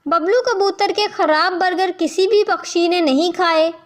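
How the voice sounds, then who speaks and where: A woman with an extremely high-pitched voice narrates a children's tale. The delivery is scripted storytelling, told as the narrator of a cartoon. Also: a little slow.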